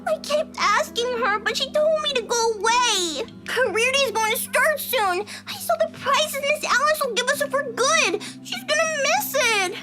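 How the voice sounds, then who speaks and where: Very high, whiny little girl. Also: expressive, a little slow, hardly any pauses, over music. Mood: sad, pleading. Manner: childlike, whiny. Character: upset little girl, sniffly, earnest and pleading.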